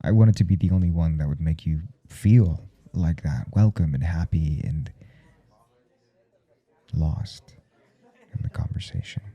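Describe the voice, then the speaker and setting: A man playing a nervous, smitten professor in an intimate ASMR roleplay, husky and halting, stammering coyly as he speaks. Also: lo-fi sound, full character voice. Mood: tender confessing. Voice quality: husky, dark low murmur. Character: nervous, smitten male professor confessing forbidden attraction. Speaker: audio roleplay performer.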